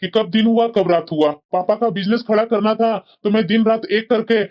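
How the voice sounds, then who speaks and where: A woman's voice: medium pitch, steady tone, normal speed, lo-fi sound, lightly acted. A woman voicing an affectionate young man who pleads with an old college friend to meet him.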